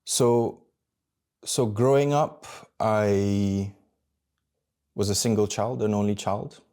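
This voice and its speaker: A man in his thirties from Malaysia, talking candidly to camera about his own life, halting and searching for words as he goes. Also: fairly low pitch, steady tone, a little mellow, clean tone. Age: 30s. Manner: candid and introspective. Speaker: vlogger. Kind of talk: personal reflective monologue.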